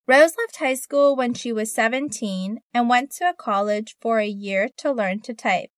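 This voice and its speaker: A woman narrating a short story for a listening test, with clear, even textbook reading. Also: on the high side, normal speed, no room sound, studio quality. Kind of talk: scripted narration.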